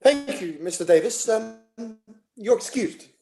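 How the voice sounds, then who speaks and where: A woman reading a courteous, brisk judge presiding over an inquiry in a courtroom play. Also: on the high side, lively intonation, dark tone, soft background music. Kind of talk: table read of a courtroom play.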